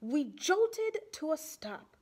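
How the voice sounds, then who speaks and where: A young woman reading a children's picture book aloud expressively, deliberately, pausing to ask and explain. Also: high voice, sing-song, darkish tone. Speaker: storytime reader for children. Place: small indoor room with bulletin board.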